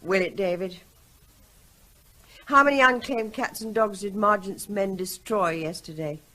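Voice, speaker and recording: An actress playing an unhappy, frustrated wife in a radio drama, earnest and insistent. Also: female voice, medium pitch, unhurried, sharp tone, low-quality recording. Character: unhappy, frustrated wife pleading with her evasive husband.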